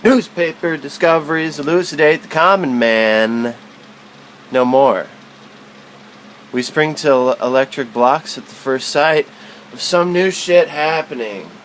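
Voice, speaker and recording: A man's voice: fairly high pitch, a little slow, fairly bright tone, a little hiss or hum, rough recording. A man reading literary prose aloud as spoken word, in a musing, philosophical manner.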